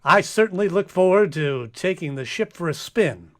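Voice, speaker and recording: A man acts out a by-the-book space captain in a comic radio play. His voice sits fairly high and swings widely. He stammers and frets in theatrical exasperation as he schemes. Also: animated, normal speed, fairly bright tone. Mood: eager.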